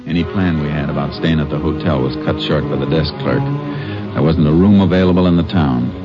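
An actor playing a steady, shrewd Texas Ranger investigator in a 1950s radio drama, laconic and matter-of-fact. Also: male voice, rumbling low, fairly quick. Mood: narrating. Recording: dull vintage 1950s radio recording, music.

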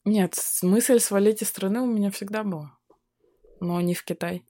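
A woman's voice: mid-range voice, moderate pace, dark tone, no room sound. A young woman from Buryatia talking casually and ironically in a chat, often laughing and swearing offhand. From Buryatia, Russia. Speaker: guest.

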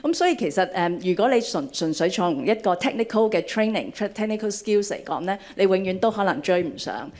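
A woman's voice: medium pitch, animated, a little fast, hardly any pauses, darkish tone. A middle-aged woman from Hong Kong speaking on a panel, switching between Cantonese and English with frequent hesitations. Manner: hesitant, many ums. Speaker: panelist, fund head.

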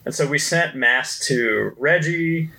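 A man's voice: fairly high pitch, slow pace, creaky, pushed and bright. A man chatting casually as a panelist on a podcast. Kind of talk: casual chat.

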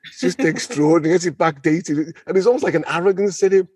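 An enthusiastic man discusses history off the cuff in a fairly high, husky voice. He wanders into asides and repeats phrases to drive points home. The sound is close and dry. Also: fast, a little mellow, no room sound. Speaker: podcast guest discussing history. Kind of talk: unscripted, conversational history discussion.

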